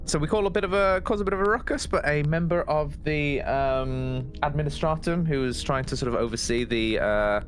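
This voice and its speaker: A man's voice: a little high, normal speed, few pauses, soft background music. A man casually recapping events as a player in a tabletop roleplaying game, hesitating, repeating words and trailing off.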